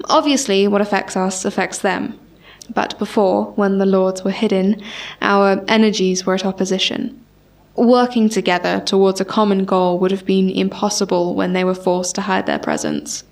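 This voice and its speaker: An actress playing a reverent medical official giving a measured, deferential press conference speech. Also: female voice, mid-range voice, animated, gravelly. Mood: reverent, proud. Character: reverent, devoted female doctor serving a lord.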